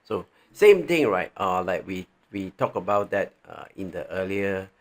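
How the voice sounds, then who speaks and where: Low-pitched, creaky Malaysian man teaching. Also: very animated. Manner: halting, thinking aloud, frequently checking with "right". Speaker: tax training presenter. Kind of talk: informal tutorial walking through on-screen examples.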